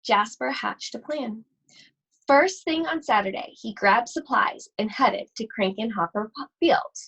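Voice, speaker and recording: A woman reading a children's storybook aloud expressively, with deliberate, suspenseful phrasing and drawn-out words. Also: on the high side, gravelly, a bit bright, breathy edge, poor audio. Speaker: school library read-aloud host.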